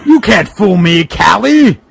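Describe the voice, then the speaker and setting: A man voicing a defiant monster hero in a comic fan fiction reading, with theatrical, taunting bluster and a gravelly, strained voice. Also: high voice, big pitch swings, slow pace, exaggerated voice.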